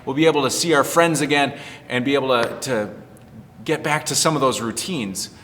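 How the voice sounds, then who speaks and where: A man's voice: mid-range voice, a little fast, fairly bright tone. A man speaking as a pastor in a casual children's storytime, explaining things simply. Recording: reverberant, echoing room.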